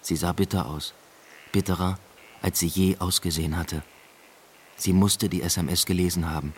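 A man's voice: low-pitched, fairly even intonation, normal speed, a little hiss or hum, lightly acted. An actor playing a brooding, evasive father who narrates his own story in a literary radio drama. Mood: brooding, reflective. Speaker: narrator and lead character.

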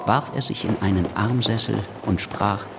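An actor playing an earnest young revolutionary journalist in a historical radio drama. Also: male voice, lowish voice, moderate pace, gravelly, noisy background. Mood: solemn reporting. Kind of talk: radio drama acting and recitation.

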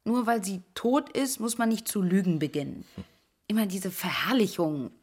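An actress playing a bitter, bereaved young woman in a radio drama, blunt and defensive, with a husky voice. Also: medium pitch, lively intonation, moderate pace, darkish tone. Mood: argumentative. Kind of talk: radio drama, interview-like monologue.

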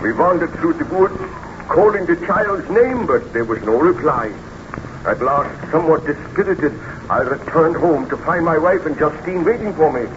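A man's voice: fairly high pitch, animated, normal speed, natural voice. A man acting an anxious, well-bred baron in a 1930s radio serial, with formal, earnest, theatrical diction. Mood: urgent, grave. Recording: noisy, muffled vintage 1930s radio recording.

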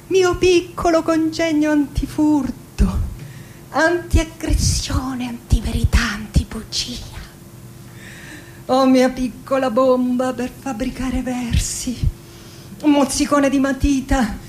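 An actress playing a middle-aged Argentine woman poet in a theatrical radio monologue, reciting lyrically and deliberately with dramatic pauses, melancholy, wry and passionate. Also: sits high, a little hiss or hum. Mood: tender, intense.

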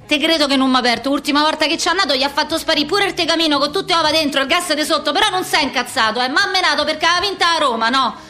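A woman's voice: sits high, very fast, hardly any pauses, pushed and bright. An actress playing a brash, foul-mouthed young working-class woman with a Roman accent, in a combative, slangy theatrical monologue. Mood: indignant storytelling. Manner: slangy, combative, rambling street talk. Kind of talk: theatrical comic monologue for radio.

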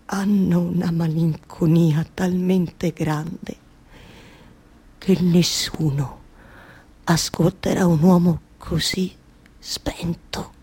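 An actress voicing a melancholy, war-worn man home from war, in a hushed, fading voice with very slow, broken phrasing. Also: on the low side, creaky, slightly rough, pitched below the performer's own voice, exaggerated voice.

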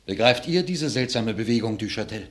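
A man's voice: lowish voice, lively intonation, a little fast, a bit bright. An actor playing a loyal, urging nobleman in classical verse radio drama, with formal, emphatic diction. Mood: troubled, persuasive.

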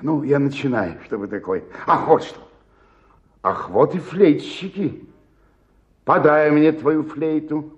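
An actor playing an older, self-pitying tragic stage actor in a radio play, declaiming theatrically and swinging from murmur to roar. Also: male voice, a little high, slow pace, long pauses, dull audio. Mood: insistent, bitter.

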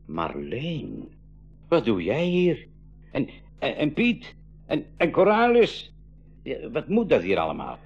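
An actor in a radio play voicing a flustered, absent-minded professor uncle who stammers and repeats himself when startled. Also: male voice, mid-range voice, huge pitch swings, slow, creaky, long pauses, husky, muffled recording, exaggerated voice. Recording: 1980s radio play with music.

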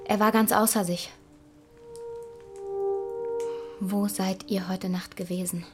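An actress playing a shaken, accusing young foster daughter in a radio drama, pressing with questions. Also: female voice, medium pitch, close to an everyday voice. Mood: shaken disbelief.

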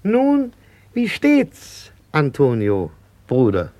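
An actor playing a gentle, melancholy older flower seller in a German radio drama, with a measured, lyrical, wistful manner. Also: male voice, fairly high pitch, wildly swooping pitch, heavy background noise, heavily acted. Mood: wistful pleading. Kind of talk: German radio play acting.

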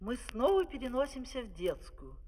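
A man's voice: very high-pitched, wildly swooping pitch, moderate pace, exaggerated voice. A man narrating a comic fairy-tale opera as its storyteller, in a scripted theatrical style. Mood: vivid, expository. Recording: vintage vinyl record, hall reverb.

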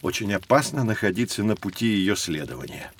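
An actor playing a patient teacher who explains things expressively to children in a scripted educational audio drama. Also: male voice, lowish voice, brisk. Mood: explaining. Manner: didactic, expressive. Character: patient adult male teacher guiding curious children.

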